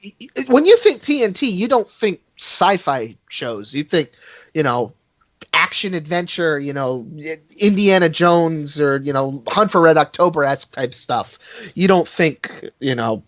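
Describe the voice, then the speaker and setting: A podcast co-host chatting casually in an animated, exclamatory way. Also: medium pitch, a little slow, no room sound, highs cut off. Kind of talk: casual chat.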